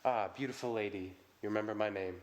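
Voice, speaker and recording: An actor playing a charming, flattering playboy in an audio drama who turns defensive and self-deprecating when questioned. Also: male voice, fairly low pitch, fast talker, close to an everyday voice. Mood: wistful. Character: charming, self-pitying young male playboy suitor. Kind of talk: vintage-style radio drama acting.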